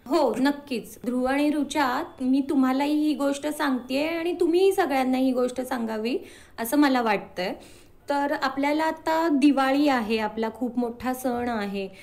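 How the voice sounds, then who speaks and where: A woman's voice: sits high, a little mellow. A woman answering as an interview guest, earnestly explaining and mixing in English words.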